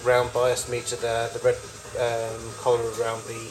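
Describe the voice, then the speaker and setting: Halting man giving an informal show-and-tell. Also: medium pitch, monotone, poor audio. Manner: halting, rambling, with self-corrections. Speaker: collector showing vintage tape recorders.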